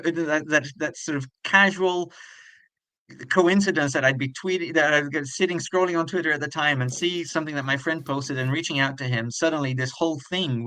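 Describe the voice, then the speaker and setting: A man in his forties from Brazil, whose first language is Portuguese, telling his story as an interview guest with many pauses and restarts. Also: fairly high pitch, bright, forceful tone, no echo, rough recording. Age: 40s. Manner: thoughtful, many restarts and repeated words.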